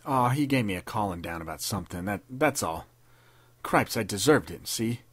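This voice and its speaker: A man's voice: lowish voice, lively intonation, normal speed, a bit bright, breathy edge, dry recording. A man voicing a frightened young private, an ex-gangster who pleads and whines in street slang. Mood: nervous. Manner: whining, pleading, slangy, stammering.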